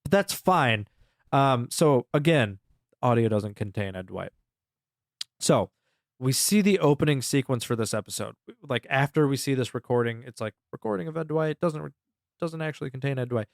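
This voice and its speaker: A man's voice: medium pitch, animated, moderate pace, creaky, dry recording, clean studio sound. A man co-hosting a podcast, recapping a story in a rambling, casual way and swearing freely. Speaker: podcast co-host.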